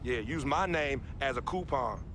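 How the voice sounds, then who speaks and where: An actor playing an exasperated young basketball star, emphatic and slangy, in a dramatic video game cutscene. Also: male voice, medium pitch, lively intonation, moderate pace, background noise, natural voice. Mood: scornful. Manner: emphatic, scolding, slangy, rhetorical questions.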